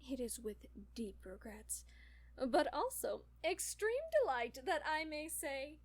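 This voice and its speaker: A woman's voice: high voice, expressive, unhurried. A woman playing a brash, playful spaceship captain with a theatrical manner in a scripted audio drama. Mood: gloating. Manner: dramatic, gloating, casual cursing.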